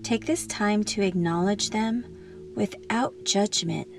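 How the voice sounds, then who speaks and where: A woman leading a guided meditation in a gentle, soothing, unhurried manner, leaving long pauses between phrases. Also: mid-range voice, creaky, soft background music.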